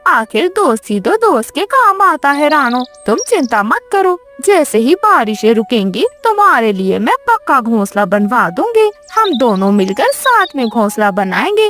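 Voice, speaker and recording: A woman's voice: sits high, sing-song, measured pace, a bit bright, soft background music, full character voice. A woman voicing a kind, fussy, reassuring mother crow in a children's cartoon story. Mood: warm, reassuring. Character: kind, caring mother crow, adult female.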